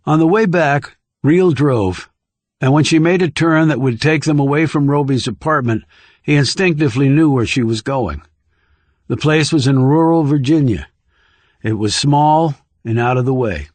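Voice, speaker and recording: A man's voice: medium pitch, darkish tone, dry recording. Dry, closely recorded male audiobook narrator. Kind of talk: scripted thriller narration.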